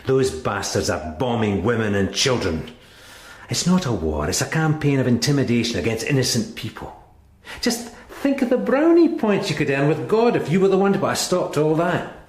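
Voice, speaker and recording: An actor playing a hard, contemptuous British police sergeant in a radio crime drama, blunt, goading and threatening. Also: male voice, mid-range voice, sing-song, moderate pace, close to an everyday voice. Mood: insistent. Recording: roomy, echoing interior.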